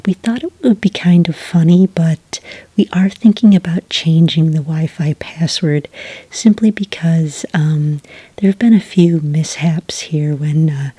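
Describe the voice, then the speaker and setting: A woman speaking very softly and breathily in an ASMR roleplay as a friendly cafe witch taking an order, gentle, unhurried and chatty, close to the microphone. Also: low voice, no room sound. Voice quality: breathy, whispery. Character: friendly, obliging witch serving at a quaint cafe. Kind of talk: soft-spoken whispered ASMR customer-service roleplay. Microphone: close, dry microphone.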